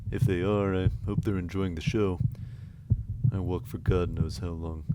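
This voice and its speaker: Male actor as world-weary noir detective, very low voice, terse wry narration for horror audio drama. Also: fairly even intonation, normal speed, very noisy, lightly acted. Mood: wry, grim. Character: hard-boiled, world-weary male detective narrating his own nightmare.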